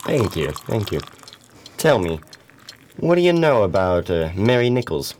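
A man playing a courteous police inspector in a radio play, asking questions in a measured way. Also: on the low side, very animated, darkish tone. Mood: polite, probing. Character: polite, probing male police inspector.

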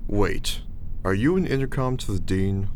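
A man's voice: fairly low pitch, expressive, normal speed, a little mellow, slight background noise, lightly acted. An actor playing an earnest, sheltered young college freshman in an audio drama, hesitant and incredulous. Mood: upset, accusing.

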